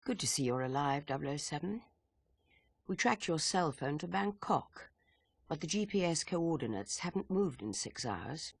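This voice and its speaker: Gravelly, stern female spy chief. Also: deep voice, lively intonation, moderate pace, no echo, full character voice. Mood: stern, wry. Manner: dry, authoritative. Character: brisk, stern intelligence chief briefing an agent. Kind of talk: dramatic video game cutscene acting.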